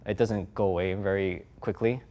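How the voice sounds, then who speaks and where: A man in his thirties speaking haltingly, with many fillers, about his body. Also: deep voice, steady tone, a little mellow. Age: 30s. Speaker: participant. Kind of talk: candid conversation.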